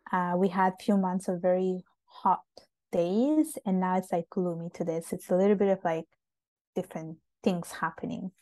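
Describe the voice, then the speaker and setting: A young woman from Italy speaks in a low, mellow voice with a gravelly edge, her speech loose and full of fillers. The recording is dry and close. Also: normal speed, no echo. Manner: chatty, many fillers like "like" and "uh". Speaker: guest. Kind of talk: casual interview conversation. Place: home in Montreal.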